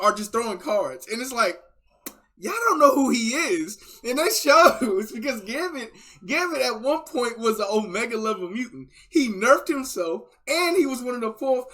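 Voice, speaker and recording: High-pitched man reacting excitedly. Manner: excited, slangy, repeats words. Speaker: reaction video host. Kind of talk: casual reaction commentary.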